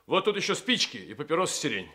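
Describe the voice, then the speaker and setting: An actor in a Soviet radio drama playing a gruff, servile police underling with a barking, clipped delivery. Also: male voice, fairly high pitch, sing-song, fast, bright, forceful tone, heavily acted. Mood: dutiful, servile. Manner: barking, clipped, deferential to his superior.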